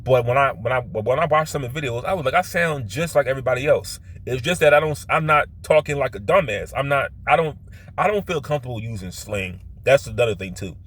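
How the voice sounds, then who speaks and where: A man with a Philadelphia accent and heavily stressed O's, telling personal stories in a rambling, halting way that often circles back and repeats. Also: medium pitch, lively intonation, a bit bright. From Philadelphia, United States. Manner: stresses his O vowels; halting, repetitive, circles back to his point. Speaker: vlogger.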